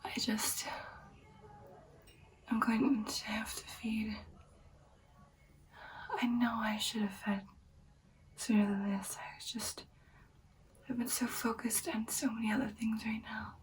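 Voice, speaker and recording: A woman playing an ancient, caring female vampire in a whispered ASMR roleplay, speaking in soft, breathy, hesitant phrases. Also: on the high side, little pitch movement, slow, long pauses, recorded from a distance, a little hiss or hum. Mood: weary, reassuring.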